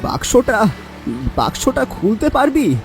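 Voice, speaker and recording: A man playing an emotional, grieving village man in an audio horror story, with a rural Bangladeshi accent and drawn-out, lamenting delivery. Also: very high voice, very animated, faint music behind, exaggerated voice. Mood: anxious. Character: grieving, emotional village man mourning his drowned friend.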